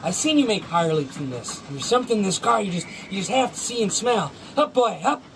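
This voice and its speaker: An actor playing a sly gardener turned dog thief in a radio drama, coaxing and theatrical, repeating himself. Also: male voice, sits high, very animated, a little fast, background noise. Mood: coaxing, friendly.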